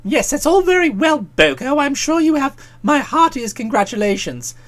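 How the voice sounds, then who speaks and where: A man voicing a hapless young English gentleman in a storybook, pleading in a plaintive, emphatic, excitable way. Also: extremely high pitch, moderate pace, exaggerated voice. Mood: pleading, fretful.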